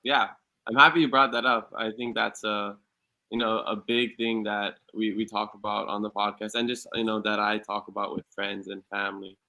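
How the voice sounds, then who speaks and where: A man's voice: on the low side, little pitch movement, moderate pace, pushed and bright, low-quality recording. A young man from Hawaii whose first language is Hawaiian, talking casually as a podcast guest, full of "you know" fillers and restarts. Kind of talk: casual interview talk.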